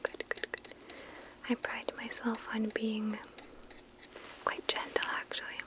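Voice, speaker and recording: A woman whispering breathily and gently, slow and reassuring, close to the microphone, in an ASMR roleplay as a dentist. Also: on the high side, monotone.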